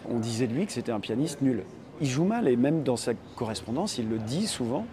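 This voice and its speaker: A man's voice: mid-range voice, very animated, brisk, warm tone. A man interviewed about preparing a film role, talking casually and hesitantly with many restarts. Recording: noisy crowd behind.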